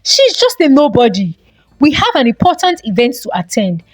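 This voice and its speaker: A woman's voice: high voice, wildly swooping pitch, normal speed, no echo, full character voice. A woman voicing an impatient, bossy young man in a folktale, curt and dismissive. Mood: scornful.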